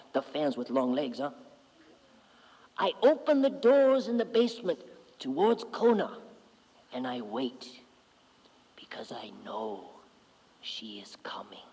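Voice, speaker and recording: A man voicing a grown Hawaiian son who speaks slowly and solemnly in a low, whispery voice. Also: very animated, darkish tone, husky, long reverb tail, full character voice, rough recording. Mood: hushed.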